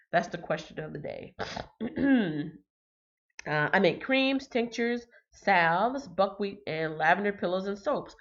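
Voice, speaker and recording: A woman's voice: medium pitch, lo-fi sound. A woman hosting a casual livestream, chatting with viewers and reading their comments, her voice a little congested. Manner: chatty, reads out viewer comments, frequent ums. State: slightly congested. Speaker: livestream host.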